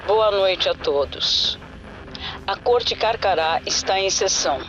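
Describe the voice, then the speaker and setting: A man's voice: high voice, lively intonation, slow. A man with a Brazilian accent playing a cold, formal, commanding secret-court leader heard through a speaker box. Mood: cold authority. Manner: formal, authoritative. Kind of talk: audio drama acting. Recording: narrow-band speaker sound, clear noise.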